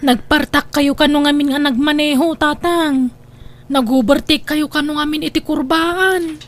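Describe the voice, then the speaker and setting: A woman plays a fretful grown daughter in a homemade Ilocano comedy drama. Her voice is high and she fusses and admonishes with an Ilocano accent. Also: fairly even intonation, unhurried. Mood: concerned. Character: fretful, scolding grown daughter of old father. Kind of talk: amateur comedy drama acting.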